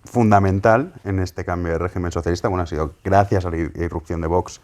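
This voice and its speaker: A man's voice: deep voice, steady tone, brisk, darkish tone. A man with a Spanish accent from Spain hosting a political interview, putting questions to his guest. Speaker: interviewer and host.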